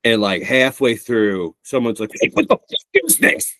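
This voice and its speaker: A middle-aged man co-hosting a podcast, talking in an animated, sarcastic way and swearing freely. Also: mid-range voice, unhurried, no echo. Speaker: podcast co-host, comedian. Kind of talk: casual chat.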